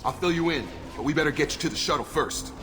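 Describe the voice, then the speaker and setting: A man acting as a brisk, friendly soldier in a video game, talking straightforwardly. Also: on the high side, natural voice. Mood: surprised, brisk. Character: brisk, friendly male soldier under fire. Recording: loud game music and gunfire behind.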